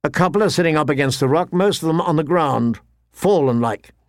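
A man's voice: on the high side, expressive, brisk, no echo, studio quality. A man voicing a wary poacher turned scout in an audiobook, reporting in plain, guarded, rustic speech.

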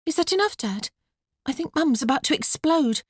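Storybook girl, played by a woman: high, lilting, matter-of-fact, gravely earnest. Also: expressive, moderate pace, no echo, clean studio sound. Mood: practical. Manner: earnest, mock-solemn, practical. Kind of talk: audiobook character voice.

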